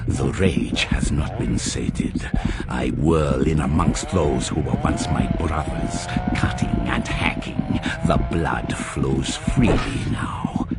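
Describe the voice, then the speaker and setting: An actor playing a savage, rage-driven warrior champion in an audio drama, with gravelly, menacing, intense delivery. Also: male voice, full character voice. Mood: furious, bloodthirsty. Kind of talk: audio drama first-person narration. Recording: loud rumbling battle noise behind the voice.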